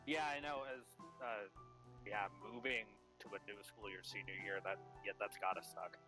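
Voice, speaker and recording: A man's voice: on the low side, normal speed. Hesitant young man chatting over music. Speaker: let's play co-host. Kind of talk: casual chat. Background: game music.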